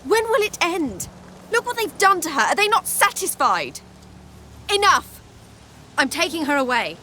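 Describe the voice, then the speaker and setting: A young woman playing a distraught, defiant daughter in a video game, impassioned and forceful. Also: very high-pitched, big pitch swings, measured pace, slight background noise, exaggerated voice. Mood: angry, defiant. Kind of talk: dramatic video game acting. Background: game music.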